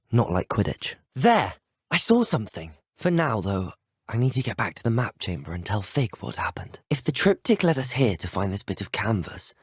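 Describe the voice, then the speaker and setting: A man's voice: lowish voice, dry recording, clean studio sound. A man voicing a polite, earnest teenage student wizard in a video game, speaking scripted lines in a thoughtful, well-spoken manner. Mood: polite, hesitant, then determined. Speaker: video game player character.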